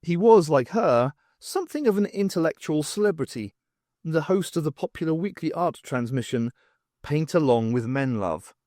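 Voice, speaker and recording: A man narrates a novel in an expressive, scripted style. His voice sits a little high and moves with a very lively range. The sound is dry, with no room echo. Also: moderate pace. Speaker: audiobook narrator.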